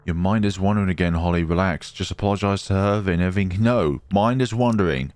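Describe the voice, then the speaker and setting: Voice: low-pitched, fast, darkish tone, no echo. A gamer giving casual commentary, reacting and reading game text aloud expressively, close to the microphone. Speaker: gamer, let's player.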